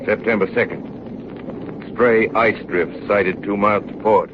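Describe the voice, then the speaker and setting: An actor playing a sober seafarer who narrates a polar voyage from his journal in measured 1930s radio style. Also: lowish voice, steady tone, natural voice. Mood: grave, matter-of-fact. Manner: measured, grave storytelling. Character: seasoned, sober ship's engineer recounting a polar voyage. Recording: muffled, hissy 1930s radio recording.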